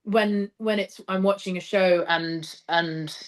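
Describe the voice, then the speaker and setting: A woman's voice: mid-range voice, fairly even intonation, takes it slowly. A woman talking enthusiastically and emphatically about her craft as a theatre director being interviewed.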